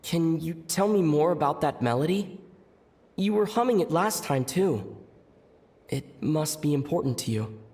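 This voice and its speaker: Lightly pitched young hero, reverberant. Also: male voice, animated, a little slow, darkish tone. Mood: gently probing. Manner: earnest, questioning. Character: young male traveler, earnest, gently probing hero. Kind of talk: video game character acting. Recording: long reverb, music behind.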